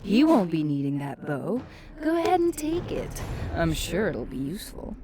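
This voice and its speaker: A woman's voice: fairly low pitch, big pitch swings, moderate pace, noisy background. An actress playing a sly, seductive female spirit who teases in a drawl in a fantasy game. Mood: sardonic, teasing. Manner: teasing, drawling. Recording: game music behind the voice.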